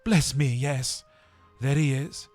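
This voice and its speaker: A man's voice: medium pitch, steady tone, slow, darkish tone, soft background music, pitched above the performer's own voice, close to an everyday voice. A man voicing an old male miser who exclaims eagerly while reading a storybook aloud. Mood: fond. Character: old male miser, excitable when moved.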